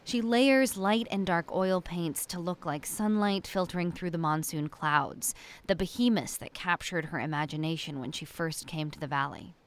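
A woman radio reporter narrating a news feature in a measured, reflective way. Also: lowish voice.

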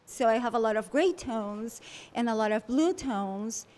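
A woman's voice: fairly high pitch, expressive, unhurried, darkish tone. A woman artist from Peru, interviewed, talking with effusive enthusiasm.

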